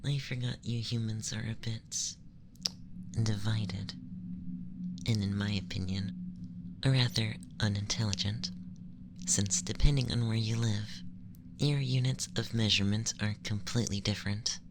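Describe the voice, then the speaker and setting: An ASMR performer voicing a calm, condescending young male alien abductor in a breathy, often whispered, gentle and formal monologue. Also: mid-range voice, measured pace, pauses often, noisy background, natural voice. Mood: mildly condescending. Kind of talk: ASMR roleplay, scripted monologue.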